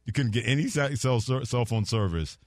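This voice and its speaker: A man's voice: medium pitch, animated, a little fast, no room sound, clean studio sound. A man hosting a sports radio show, chatting casually with an easygoing, affirming manner.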